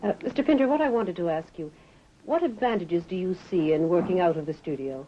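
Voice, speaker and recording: A woman reporter giving polished, lively scripted newsreel narration and asking interview questions. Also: fairly low pitch, brisk, slight background noise. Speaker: reporter and presenter. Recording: dull 1960s film soundtrack.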